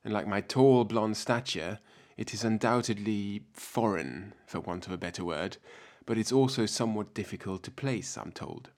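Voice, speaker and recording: A man from France, raised speaking French and German, with a French-German accent, delivering a measured, reflective scripted talk as a researcher. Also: on the low side, a little mellow. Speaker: PhD researcher giving a talk. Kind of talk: scripted spoken essay.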